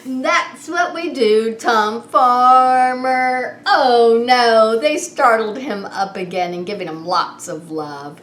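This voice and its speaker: A woman hosting story time as a preschool teacher, reading in a sing-song, animated way and coaxing small children with questions. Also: a little high, slow. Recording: roomy echo with some background noise.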